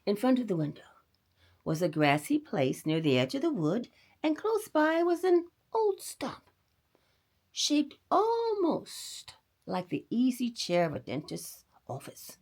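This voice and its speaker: Very animated, husky woman storyteller. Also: fairly high pitch, unhurried. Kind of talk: expressive children's story read-aloud.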